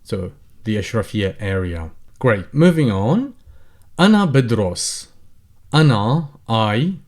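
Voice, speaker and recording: Man, lowish voice, patient tutorial narration, reads a phrase then translates. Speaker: Arabic teacher. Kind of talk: slow explanatory tutorial.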